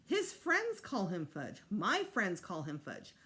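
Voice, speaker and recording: A woman's voice: medium pitch, wildly swooping pitch, takes it slowly, a bit bright, exaggerated voice. A woman voicing an earnest, worried schoolboy and big brother in a storybook, direct and questioning. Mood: insistent.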